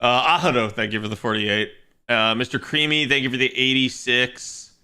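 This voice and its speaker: A young man chatting casually on his game stream, rambling and restarting as he thinks aloud, with a slightly raspy voice today. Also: medium pitch, normal speed, bright, forceful tone. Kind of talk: casual livestream chat.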